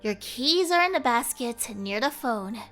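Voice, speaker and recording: Fed-up mom, voiced by a woman, curt, sarcastic, scolding, higher pitch, visual novel reading, soft music behind. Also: lively intonation, measured pace. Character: exasperated, sarcastic mother of two.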